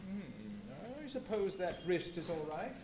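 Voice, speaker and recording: An actor in a live radio play voicing a bewildered, hesitant and sceptical gentleman, asking questions in a halting, flustered way. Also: medium pitch, wildly swooping pitch, vocal fry, exaggerated voice. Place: live performance at a brewery venue. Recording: roomy live stage, faint noise.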